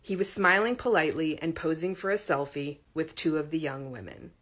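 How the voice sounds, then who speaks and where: A woman reading a story aloud as an audiobook narrator, in an expressive scripted style. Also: sits low, a little fast.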